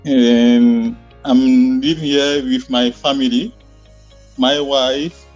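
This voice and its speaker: Very slow man learner from Africa. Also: mid-range voice, level tone, a little mellow, dull audio, faint music behind. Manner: halting, with fillers. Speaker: adult English learner.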